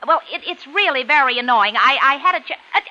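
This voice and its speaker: A woman's voice: sits high, animated, fast talker. A woman playing an impatient, scolding wife in a 1940s radio drama, emphatic and exasperated. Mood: chatty, annoyed. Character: shrill nagging wife, impatient, easily annoyed, scolding. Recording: dull vintage radio drama recording.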